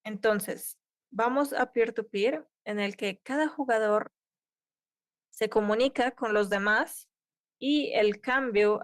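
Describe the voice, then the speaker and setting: A woman's voice: mid-range voice, dry recording, studio quality. A woman interpreting a conference tech talk live, keeping pace with occasional cut-off restarts. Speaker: simultaneous interpreter.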